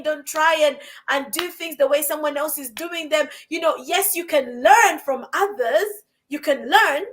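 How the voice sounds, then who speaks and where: High-voiced woman, emphatic and repetitive. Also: fairly bright tone. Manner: emphatic, repeats phrases for stress. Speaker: podcast host. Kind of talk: casual chat about confidence.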